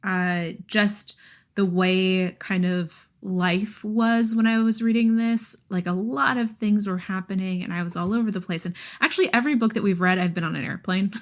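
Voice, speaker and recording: A woman co-hosting a podcast, chatting casually and informally about books in an animated, giggly way. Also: mid-range voice, moderate pace. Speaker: podcast co-host. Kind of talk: casual book chat.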